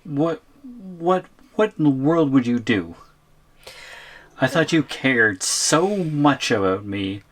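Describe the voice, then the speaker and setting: A man's voice: mid-range voice, big pitch swings, slow. A man playing a scared, weary captive on the run in an audio roleplay, hesitant and muttering. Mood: resigned, defiant. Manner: hesitant, muttering to himself, pleading.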